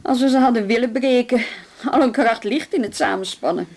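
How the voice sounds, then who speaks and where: An actress in a radio play voicing a brisk, wry female police inspector with a dry, businesslike manner. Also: on the high side, darkish tone, close to an everyday voice. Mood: curt, resolute. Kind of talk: radio drama acting.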